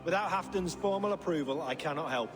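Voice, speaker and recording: A man's voice: a little high, a little fast, over music, close to an everyday voice. A man playing a sly puppet king, silky. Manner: wry, silky, evasive. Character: sly scheming middle-aged Saxon puppet king. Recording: game audio with long reverb.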